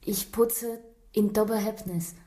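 An actress playing a Chinese cleaning woman in a radio drama, with a Chinese accent and halting, broken, very short answers. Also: medium pitch, fairly even intonation, dark tone, close to an everyday voice. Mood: timid, terse. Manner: halting broken sentences, very few words. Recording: roomy echo.

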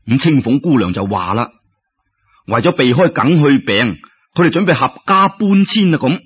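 A man's voice: medium pitch, big pitch swings, moderate pace, husky. A man with a Cantonese accent telling a serial story in a colloquial, dramatic way full of exclamations. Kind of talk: animated traditional serial storytelling. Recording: dull lo-fi radio-like recording.

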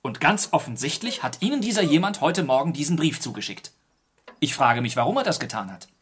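A gentleman sleuth from a crime radio play. His voice is husky and moves widely in pitch, while he stays measured, polite and inquisitive. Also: big pitch swings, heavily acted. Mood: probing, skeptical. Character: composed, probing gentleman detective, married, dryly courteous. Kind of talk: German radio crime drama acting.

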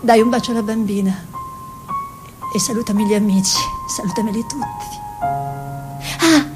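Woman playing an impulsive artist wife, highish voice. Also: normal speed, music in the background, natural voice. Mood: tender. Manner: theatrical, shifting between exclamations and soft musing. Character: Pasqua Rosa, artist wife, impulsive, gossipy, tenderly scolding. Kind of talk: Italian stage-style radio drama.

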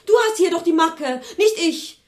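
An actress playing an upset young adult daughter, insistent and accusing, in a dramatic production. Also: female voice, extremely high pitch, animated, moderate pace. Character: Faith, upset young adult daughter confronting her secretive mother. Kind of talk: stage-style drama acting.

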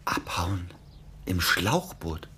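An actor playing a naive, blunt sidekick in a radio play, with a husky voice and simple, eager, short interjections. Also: male voice, deep voice, very animated, very slow, pushed and bright, heavily acted. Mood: astonished.

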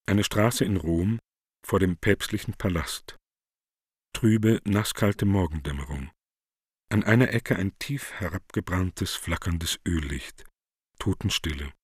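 A man's voice: sits low, slow, husky, no room sound, studio quality. A man narrating the stage directions of a radio play from a script.

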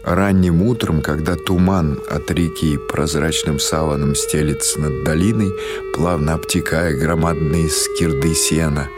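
A man reading literary prose as an audiobook narrator, in a measured, expressive storytelling manner. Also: extremely low pitch, over loud music.

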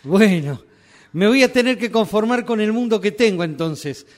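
A man's voice: high-pitched, lively intonation, moderate pace. Give me a man playing a bewildered, naive customer. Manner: earnest, bewildered questioning. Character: naive male customer seeking a new world. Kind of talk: amateur radio drama rehearsal.

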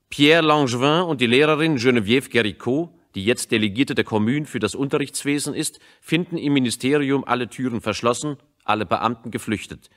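A male narrator with a medium-pitched voice reads scripted radio drama. His delivery is measured and sober, with deliberate phrasing. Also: a bit bright.

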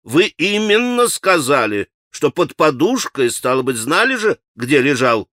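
A man voicing a stern, methodical prosecutor in an audiobook, questioning a suspect in a formal, measured, insistent manner. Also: on the high side, sing-song, a bit bright, no echo, clean studio sound. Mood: pressing, cool. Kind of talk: dramatic audiobook character acting.

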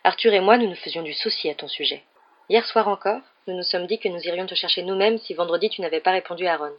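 A woman's voice: on the low side, fast, lo-fi sound, lightly acted. A woman voicing a short, plump, fierce mother who scolds and fusses over children in an audiobook story. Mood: fussing, motherly. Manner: loud, emphatic, exclaiming scolding.

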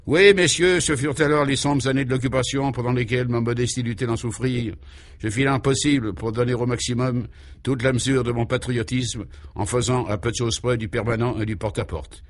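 A man's voice: medium pitch, steady tone, fairly quick, natural voice. A man voicing a grand, nostalgic woman courtesan for a radio serial, theatrical, genteel and self-important. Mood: proud, nostalgic. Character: grand, nostalgic middle-aged courtesan, proud madam.

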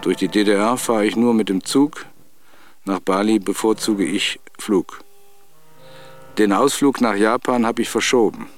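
A man's voice: lowish voice, a little slow. A man reciting rhyming verse. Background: music.

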